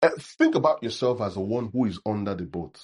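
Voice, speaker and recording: A man's voice: fairly low pitch, lively intonation, a little fast, darkish tone, slightly rough. A male pastor with a Nigerian accent, preaching in a conversational way, often checking in with his listeners and acting out sample prayers. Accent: Nigerian English. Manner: conversational preaching, frequent "right?" check-ins; acts out sample prayers in a mocking tone. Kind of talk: sermon.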